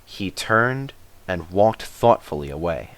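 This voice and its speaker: A man's voice: low-pitched, animated, slow. A man reading a children's story aloud as scripted narration.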